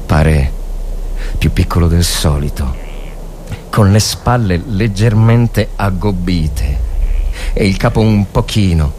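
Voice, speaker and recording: A man giving a dramatic, grave and measured narration of a war story as a literary reader. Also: deep voice, a little mellow, a little hiss or hum.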